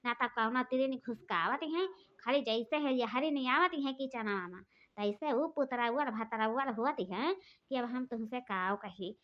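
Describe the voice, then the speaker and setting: A woman's voice: fairly high pitch, measured pace, close to an everyday voice. A woman voicing a bossy, quarrelsome elder sister-in-law, with an Awadhi accent, scolding and taunting. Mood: chatty. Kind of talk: cartoon voice acting. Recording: dull lo-fi recording.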